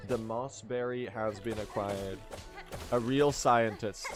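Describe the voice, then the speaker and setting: A young man chatting casually to his stream while speedrunning, self-mocking and quick to laugh, his voice still recovering from strain. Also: on the low side, music in the background.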